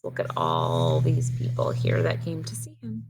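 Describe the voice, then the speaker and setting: A woman reading a picture book aloud to children like a teacher, pausing to explain things in simple words. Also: a bass voice, wildly swooping pitch, creaky, darkish tone, a little hiss or hum, low-quality recording. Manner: simple, child-directed explaining with short phrases. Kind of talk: read-aloud storytelling with simple explanatory asides.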